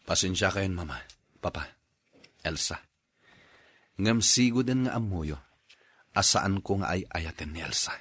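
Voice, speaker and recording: A man's voice: deep voice, animated, measured pace, long pauses, fairly bright tone, husky, no echo, full character voice. A man playing an unhappy young husband and father in an Ilocano radio drama, earnest and sorrowful. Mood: firm, weary.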